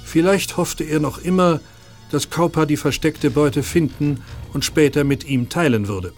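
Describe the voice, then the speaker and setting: A man's voice: a little high, measured pace. A man reading scripted narration for an audio drama. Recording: music under the voice. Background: music.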